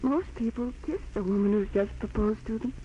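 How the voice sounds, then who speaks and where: A woman's voice: medium pitch, brisk, warm tone, lightly acted. Casting: actress for straightforward young American woman engaged into money, persuasive, radio-drama polish, dull recording with faint noise. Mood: frank, loving. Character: frank, coaxing young society fiancée. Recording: dull, noisy old 1940s radio recording.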